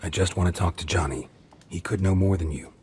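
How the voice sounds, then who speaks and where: A man playing a gruff, patient, stoic monster hunter in a video game, speaking in a terse, restrained, gravelly growl. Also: sits low, brisk, a little mellow. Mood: calm, firm. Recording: music under the voice.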